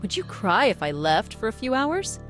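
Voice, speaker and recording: A man's voice: sits high, wildly swooping pitch, moderate pace, a bit bright, faint music behind, voice pushed up, exaggerated voice. A man voicing a snarky, condescending, century-old female vampire for a visual novel, in an affected, lilting drawl. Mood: mocking, condescending. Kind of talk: visual novel character reading.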